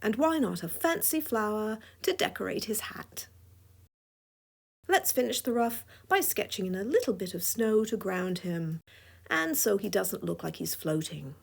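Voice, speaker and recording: A woman's voice: mid-range voice, lively intonation, unhurried, gravelly, husky. A woman with a scratchy voice giving a drawing tutorial voice-over, playful and chuckling often. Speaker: drawing instructor.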